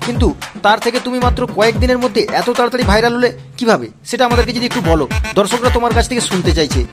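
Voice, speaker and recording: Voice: mid-range voice, racing, lightly acted. A performer voicing a persistent, eager food vlogger firing insistent questions in a comic cartoon street interview. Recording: loud music behind the voice. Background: music.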